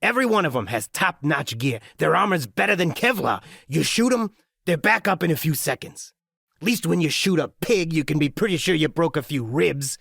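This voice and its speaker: A man's voice: a little high, lively intonation, moderate pace, gravelly, fairly bright tone, breathy edge, dry recording, studio quality, voice pushed up, heavily acted. A man voicing an injured skinhead gang thug, crude and grudging, with a strained, gasping delivery in an audiobook. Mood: grudging informing. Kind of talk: audiobook character acting.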